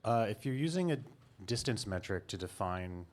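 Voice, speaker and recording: A man's voice: fairly low pitch, expressive, moderate pace, a little hiss or hum. A man in the audience asking a question from the floor, hesitant and thinking aloud.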